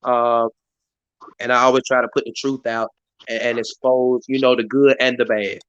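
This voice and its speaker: A hoarse man hosts a community live stream in a rambling way, full of fillers and restarts. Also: medium pitch, flat delivery, normal speed, a bit bright, no room sound, muffled recording. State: hoarse, voice partly lost. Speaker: community activist hosting a live stream meeting. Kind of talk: casual hosted talk. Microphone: live stream mic.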